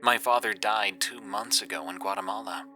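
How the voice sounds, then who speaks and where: A man voicing a grieving son on the phone who speaks softly in a hushed, choked way. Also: lowish voice, normal speed, faint music behind.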